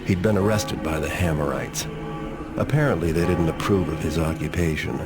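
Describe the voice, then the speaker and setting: An actor playing a cynical, world-weary thief, narrating his jobs in a dry, deadpan way for a video game cutscene. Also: very deep voice, normal speed, loud music behind the voice. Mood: grim, resolved. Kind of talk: scripted first-person game cutscene narration.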